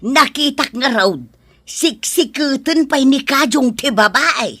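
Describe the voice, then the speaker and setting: A gossipy village woman, played by a voice actress in an Ilocano radio-style drama. Her voice is high and bright, with a forceful edge. She presses every point with nosy insistence. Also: slow, no room sound, clean studio sound, full character voice. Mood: nosy, insistent. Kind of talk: Ilocano audio drama acting.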